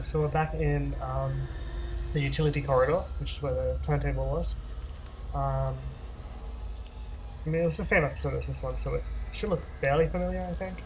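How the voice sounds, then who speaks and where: Let's-play host, casual rambling commentary, mid pitch, faint background hiss. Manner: relaxed, rambling, frequent ums and laughs.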